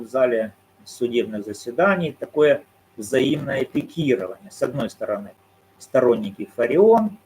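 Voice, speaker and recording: A man giving solo commentary on a court case, arguing his points in a measured, deliberate way and answering his own rhetorical questions. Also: mid-range voice, darkish tone, a little hiss or hum, rough recording. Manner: argumentative, poses rhetorical questions and answers them.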